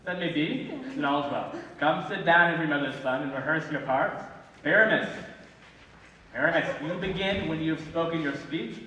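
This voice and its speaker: A man's voice: fairly high pitch, normal speed, bright, forceful tone. A male student actor playing a bossy, fussy carpenter who directs amateur players in a Shakespeare stage play. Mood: bossy, brisk. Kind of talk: theatrical Shakespeare stage acting. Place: large indoor school theatre stage. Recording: echoey hall from far off, faint noise.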